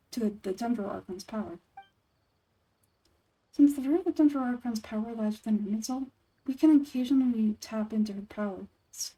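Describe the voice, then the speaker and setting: A woman's voice: on the high side, dark tone, close to an everyday voice, poor audio. A woman voicing an earnest, scholarly young woman researcher in game dialogue, speaking formally and articulately. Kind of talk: video game dialogue read-through.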